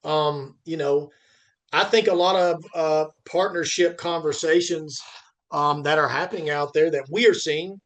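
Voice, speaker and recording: Male podcast host, slightly high register. Unscripted trade talk, stumbling restarts, emphatic delivery. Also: fairly bright tone. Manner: halting, repeats phrase starts; emphatic, asks and answers own questions. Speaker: podcast host and freight industry commentator. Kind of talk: unscripted industry discussion.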